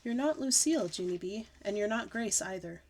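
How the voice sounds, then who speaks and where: A woman voicing a patient, weary mother who explains things slowly and gently to her child. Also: mid-range voice, lively intonation. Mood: gentle. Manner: slow, gentle, deliberate explaining.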